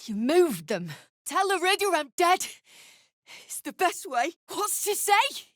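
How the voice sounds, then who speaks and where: A high-voiced, embittered deep gnome woman for a video game. She talks slowly and bluntly, with a defiant snarl and a sweary edge. The recording is dry and close. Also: sing-song, a bit bright, no room sound, heavily acted. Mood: defiant, angry. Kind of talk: video game character acting.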